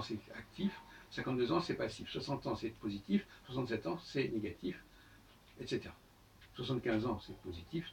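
An older man giving a solo talk to camera in a book-lined room, explaining step by step with many pauses and restarts. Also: medium pitch, gravelly, distant mic, a little hiss or hum. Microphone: far camera on a tripod.

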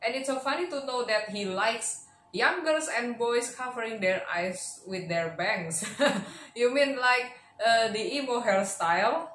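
Reaction host: young woman, casual, slow-paced commentary, echoey room. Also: mid-range voice, fairly bright tone. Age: young adult. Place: indoor room. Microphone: desk microphone on boom arm. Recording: roomy, faint background noise.

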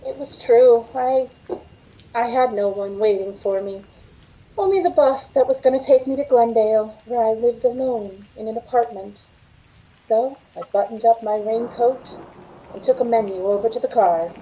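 A woman's voice: a little high, normal speed, mellow, close to an everyday voice, low-quality recording. A middle-aged woman playing a weary, wistful 1940s Hollywood carhop who confides her story in old-time radio drama style. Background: rain.

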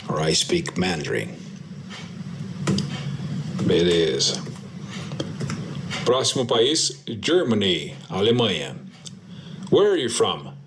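Man, brazilian portuguese accent, deep voice, slow repeated drill phrases, faint noise behind. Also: very animated. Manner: models short answers slowly and repeats them for practice. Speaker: English teacher. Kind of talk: slow drill practice lesson.

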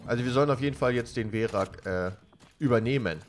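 German gamer with a cold. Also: male voice, medium pitch, quick pace, slight background noise. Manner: chatty, rambling, gets loud and jokey when panicking. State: has a cold. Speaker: let's play commentator. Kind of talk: casual gameplay commentary.

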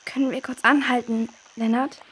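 A woman's voice: on the high side, little pitch movement, moderate pace, a bit bright, husky. A woman playing an anxious, easily startled young girl in a children's audio drama, with worried questioning.

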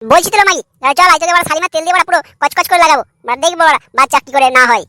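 A man's voice: very high voice, racing, bright, forceful tone, slight background noise, full character voice. A man voicing a crude, irritable young husband in a comedy cartoon, brash, argumentative and foul-mouthed. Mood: boastful, insistent.

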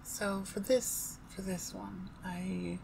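A woman's voice: mid-range voice, little pitch movement, slow pace, vocal fry. Casual, rambling woman with background noise. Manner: informal, rambling, hesitant. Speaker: audio roleplay creator. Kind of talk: casual chat to listeners.

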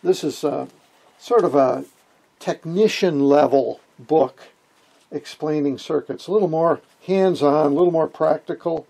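An older man hosts an informal hobby electronics lesson. His voice is husky and sits a little high for a man. He explains things in a folksy, wry way. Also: measured pace, mellow. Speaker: amateur radio hobbyist, video host. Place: small wood-panelled room indoors.

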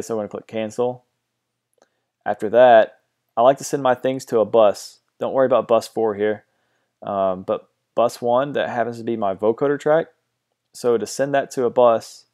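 Low-voiced man explaining software, hesitant. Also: gravelly. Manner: hesitant, many ums and restarts. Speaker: tutorial host. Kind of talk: casual screen-recorded software tutorial. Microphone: mono home recording mic.

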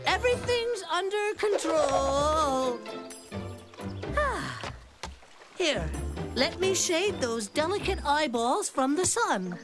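Casting: boastful chubby cartoon boy, slow hammy delivery, swooping pitch, loud music behind. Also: very animated, heavily acted. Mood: flattering, smooth. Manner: grandiose, hammy. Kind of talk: cartoon voice acting.

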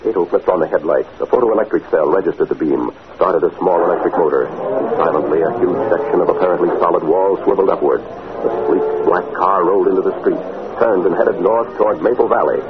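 Male actor as solemn narrator, very low pitch, scripted 1940s radio drama delivery, thin tinny sound. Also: fairly quick, background noise, lightly acted. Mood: suspenseful.

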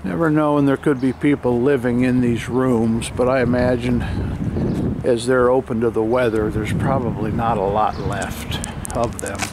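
A man's voice: mid-range voice, fairly even intonation, normal speed. A man giving casual, off-the-cuff walking narration in a musing, observational way, as a vlogger behind a handheld camera. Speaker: vlogger filming an exploration of an abandoned motel. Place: outdoors, walking around a derelict motel lot. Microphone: handheld camera microphone, operator behind camera. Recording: noisy outdoor handheld camera, ambient roar.

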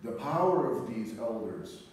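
A middle-aged man, an Orthodox priest, preaching a sermon in a solemn, deliberate manner with measured pauses. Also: medium pitch, expressive, a little mellow. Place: large church interior, speaking from the front. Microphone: distant camera microphone. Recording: echoey church, distant mic, faint noise.

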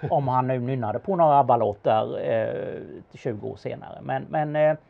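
A man giving casual expert commentary in a conversation, speaking haltingly with pauses in mid-sentence. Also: medium pitch, gravelly, narrow, tinny audio. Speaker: car expert answering questions about an auction car.

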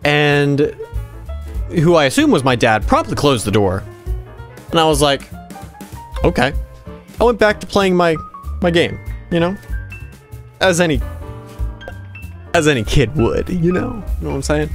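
A man in his twenties doing casual gameplay commentary and telling personal stories in an animated, rambling, conversational way. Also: a little high, measured pace, long pauses, faint music behind. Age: 20s. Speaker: gaming video host. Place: small dim room at home, indoors.

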